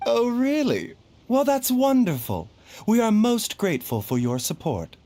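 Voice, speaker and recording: Gentle, lilting, courteous doctor. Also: male voice, high voice, wildly swooping pitch, exaggerated voice. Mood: gracious. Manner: polite, measured, formal. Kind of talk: video game voice acting.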